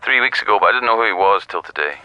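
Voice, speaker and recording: A blunt male police detective in a radio drama. He talks fast in a low, hard-edged, very sharp voice. The delivery is matter-of-fact, recorded close and dry. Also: animated, clean tone, no room sound, dull audio.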